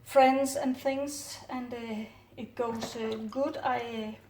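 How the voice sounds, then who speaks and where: A middle-aged Danish woman speaking English with a Danish accent, calmly narrating her work at home with many hesitant "uh" fillers. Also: on the high side, slow. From Denmark. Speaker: orchid grower. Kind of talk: casual hands-on narration. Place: home kitchen counter, indoors. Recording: roomy distant camera audio, faint noise.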